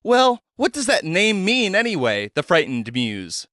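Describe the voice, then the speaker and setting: A woman's voice: on the low side, sing-song, unhurried, fairly bright tone, no echo, studio quality, voice pushed up. A man voicing a sheriff's middle-aged wife who questions her husband. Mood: puzzled.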